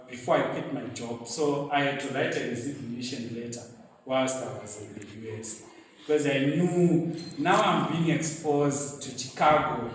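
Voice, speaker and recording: A man's voice: medium pitch, slight background noise. Zimbabwean man speaking in an echoey hall. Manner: storytelling, animated, laughing at himself. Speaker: guest speaker. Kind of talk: motivational speech at a luncheon. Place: event venue hall. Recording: very echoey hall, mic set far off.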